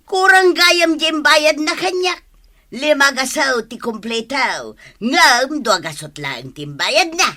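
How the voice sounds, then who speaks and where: Radio comedy: brazen scolding fortune-teller, Ilocano accent, high voice, lively swooping delivery. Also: female voice, takes it slowly, exaggerated voice.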